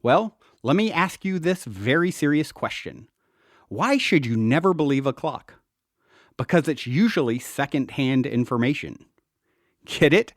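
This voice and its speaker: A man's voice: on the high side, animated, unhurried, dry recording, studio quality. A man reading his own book aloud as scripted narration, in an earnest, emphatic manner.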